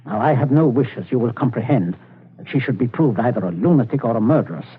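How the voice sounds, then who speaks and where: A cold, clipped middle-aged father, threatening with quiet calm. The voice is husky and swings widely in pitch. It comes through a tinny old radio broadcast. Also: fast. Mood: menacing calm. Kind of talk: 1940s radio acting.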